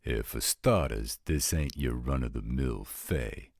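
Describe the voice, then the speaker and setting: A man's voice: very deep voice, slow, vocal fry, a little mellow, no echo, studio quality, exaggerated voice. A man playing a blunt, roguish supernatural scout who talks in casual street slang, in an audio roleplay drama. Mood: blunt, matter-of-fact.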